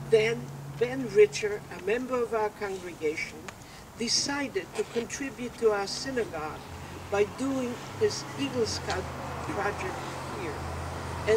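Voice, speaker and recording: An older woman giving a solemn, deliberate prepared speech at an outdoor dedication ceremony. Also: medium pitch. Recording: distant mic, loud outdoor background noise.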